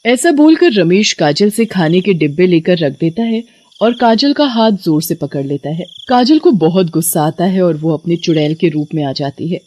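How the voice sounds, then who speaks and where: A woman's voice: lowish voice, animated, normal speed, a little mellow. A woman narrator reading a scripted story.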